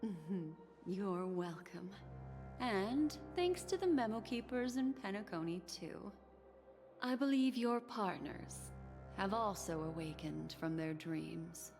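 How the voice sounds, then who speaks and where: A woman playing a poised, enigmatic keeper of memories in a video game, elegant and deliberate. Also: mid-range voice, animated, music in the background. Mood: gracious. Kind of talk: video game character acting.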